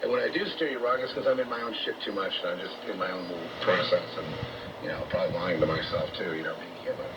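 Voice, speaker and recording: An American man giving a rambling, off-the-cuff radio monologue as a host, halting, repetitive, grandiose and coarse-spoken, over shortwave radio. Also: low voice, fairly even intonation. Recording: muffled, very noisy distant shortwave radio broadcast.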